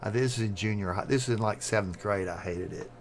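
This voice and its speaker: A man with a Southern American accent chatting casually on a live stream, talking fast and rambling. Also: fairly low pitch, crowd noise. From southern United States. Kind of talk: casual chat.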